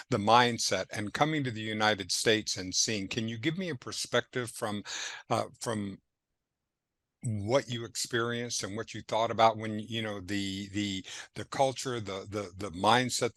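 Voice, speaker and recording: A man with a fairly low voice acts as interviewer in a conversational style. He builds his questions haltingly, circling back over phrases. The sound is dry, with almost no room on it. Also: a bit bright, no echo. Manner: halting, repeats phrases while forming questions. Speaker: interviewer, host.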